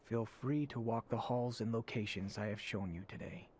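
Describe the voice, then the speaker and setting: An actor playing a courteous, formal young crown prince in a video game, speaking in stately, polite phrases. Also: male voice, on the low side, normal speed, darkish tone, slight background noise, natural voice. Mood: gracious. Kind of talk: video game cutscene acting. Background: music.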